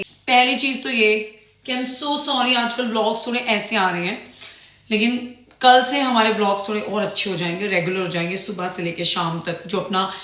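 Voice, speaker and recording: Woman vlogger, chatty, mixes in English, cooking at home, mid-pitched. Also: bright, forceful tone. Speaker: lifestyle vlogger. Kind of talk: casual chat to camera. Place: home kitchen while cooking.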